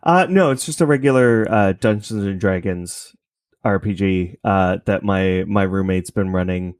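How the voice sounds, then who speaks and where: A man speaks as an interview guest in a casual, conversational way. His voice is low, and the sound is dry with very little room in it. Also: a little slow, no room sound. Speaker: interview guest, podcast creator.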